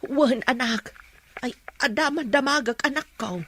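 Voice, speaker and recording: A woman's voice: high voice, a little slow, a bit bright, husky, full character voice. A Filipino-accented woman playing a concerned, sympathetic mother of a grown son in a radio drama, with a fretful manner. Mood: sorrowful.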